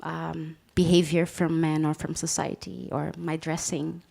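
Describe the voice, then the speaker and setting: Woman moderator, Belgian Dutch accent, lowish voice, easy laughter, handheld mic in a cafe. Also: flat delivery, unhurried, creaky, warm tone. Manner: light, laughs easily, loose restarts. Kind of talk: live panel discussion. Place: cafe of an arts venue. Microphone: handheld microphone.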